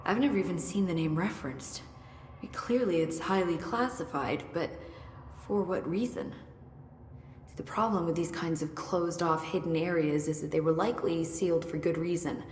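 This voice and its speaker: Actress doing a wry lady scientist from a game, lowish voice, measured explaining, echoey hall. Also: close to an everyday voice. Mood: analytical, wry. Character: candid, analytical female scientist with dry humour. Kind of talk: video game cutscene dialogue. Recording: echoey hall, long reverb, background noise.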